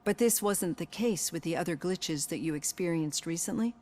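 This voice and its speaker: An actress playing a composed, analytical psychologist questioning a patient in video game dialogue. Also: female voice, fairly low pitch, moderate pace, darkish tone, close to an everyday voice. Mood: reassuring, analytical. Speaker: therapist.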